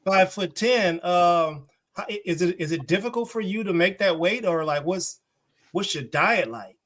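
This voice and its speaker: A man's voice: sits high, animated, moderate pace, a bit bright. A man co-hosting an interview in casual, playful, slangy talk. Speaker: co-host.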